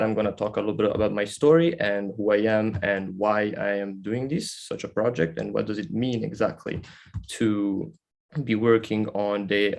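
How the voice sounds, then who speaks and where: Italian guy giving an informal talk, lowish voice, lots of fry, kinda halting and thoughtful. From Italy. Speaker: guest speaker. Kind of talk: informal spoken presentation.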